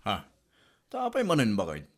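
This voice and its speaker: A man's voice: fairly low pitch, wildly swooping pitch, normal speed. A man with an Ilocano accent playing a puzzled husband and son in a comedy drama, answering in short bewildered questions.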